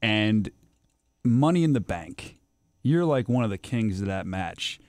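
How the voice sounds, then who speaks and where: A man's voice: lowish voice, animated, unhurried, darkish tone, no room sound. Brief: male interviewer, keen and chatty, restarts his questions a lot, dry close-miked sound. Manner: eager, rambling questions with restarts.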